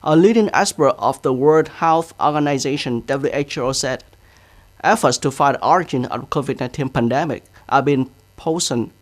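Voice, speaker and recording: A man's voice: fairly high pitch, dry recording. A man with a Vietnamese accent, a native Vietnamese speaker, presenting scripted news in English.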